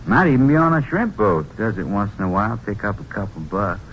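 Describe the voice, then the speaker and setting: A man's voice: fairly low pitch, sing-song, a little fast. An actor playing an evasive, folksy rural Texas man, defensive about his brother, in a 1950s radio drama. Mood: casual, evasive. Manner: folksy, colloquial, dropped subjects and double negatives. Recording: lo-fi 1950s radio, faint noise.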